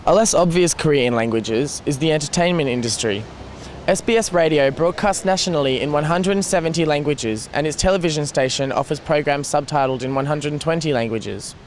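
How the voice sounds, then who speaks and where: A man delivering scripted narration in an even, informative way. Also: a little high, brisk, a little hiss or hum.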